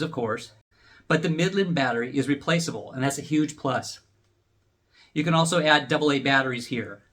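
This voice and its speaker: A man's voice: on the high side, a bit bright. A man hosting a product review, explaining things in a plain, unscripted way as he demonstrates.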